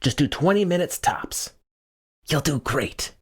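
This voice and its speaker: A man voicing a gruff but kindly older theater boss who teases and encourages in an audiobook. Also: on the high side, expressive, a little slow, pitched above the performer's own voice, exaggerated voice. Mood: kindly reassuring.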